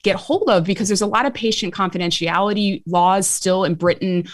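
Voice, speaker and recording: A woman with a Chicago accent, an author and medical historian answering interview questions in an animated way, laughing easily. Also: mid-range voice, brisk, no echo, clean studio sound. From Chicago, USA.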